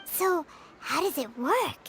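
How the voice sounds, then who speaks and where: A woman voicing a small, childlike, food-obsessed fairy companion in a video game, squeaky and exclamatory. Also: lively intonation, takes it slowly, fairly bright tone, slight background noise, exaggerated voice. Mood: skeptical, curious. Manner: speaks of herself in third person, exclamatory.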